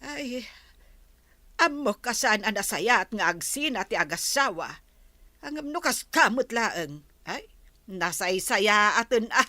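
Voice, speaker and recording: A woman's voice: medium pitch, expressive, takes it slowly, sharp tone, no room sound. A woman playing a fretful, sympathetic older female relative in a radio drama, with sighing interjections. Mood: worried, sympathetic. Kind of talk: Philippine radio drama acting.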